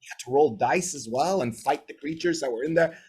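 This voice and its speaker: A man's voice: a little high, a little fast, a little mellow, slightly rough. A man in his forties from Bahrain, a native English speaker, telling stories in a chatty, winding way during a video-call interview. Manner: chatty, winding, tags points with "right?". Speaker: guest. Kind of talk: casual interview storytelling.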